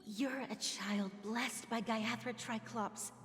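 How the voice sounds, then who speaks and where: A woman playing a gentle, devout, protective older sister in a video game. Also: fairly high pitch, fairly even intonation, takes it slowly, a little hiss or hum. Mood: tender, earnest. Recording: long reverb, loud music behind.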